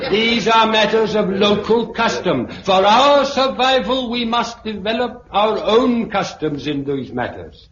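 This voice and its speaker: An actor playing an elderly British sociology professor, pompous and pedantic, lecturing a meeting in a 1950s radio drama. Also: male voice, sits high, lively intonation, a bit bright. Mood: dryly pedantic. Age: older. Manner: pedantic, deliberate, oratorical. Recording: muffled, noisy, echoey 1950s radio recording.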